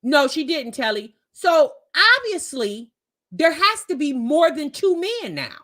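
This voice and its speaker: A woman's voice: high voice, very animated, measured pace, fairly bright tone. A woman giving casual, opinionated commentary as a reaction host, talking emphatically and colloquially with frequent rhetorical questions.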